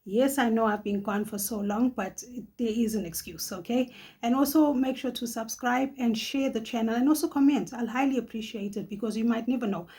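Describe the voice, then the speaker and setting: A middle-aged woman from Johannesburg with a South African accent, hosting a casual advice vlog, chatty and quick to laugh, often tagging her sentences with "okay?". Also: a little high, a little mellow, breathy edge. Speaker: channel host.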